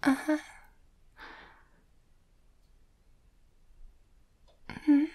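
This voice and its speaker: A woman playing a shy, sweet young werewolf girlfriend in an intimate ASMR roleplay, bashful and hesitant, with a soft, breathy, often whispery voice. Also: normal speed, natural voice. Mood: anxious, hesitant.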